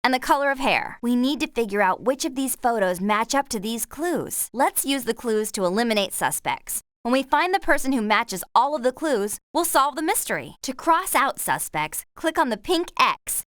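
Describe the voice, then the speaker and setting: A woman's voice: a little high, big pitch swings, normal speed, few pauses, a bit bright, no room sound, studio quality. An actress playing a friendly young woman who gives supportive instructions in a horse-riding video game. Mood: explanatory, focused. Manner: clear instructional delivery, supportive.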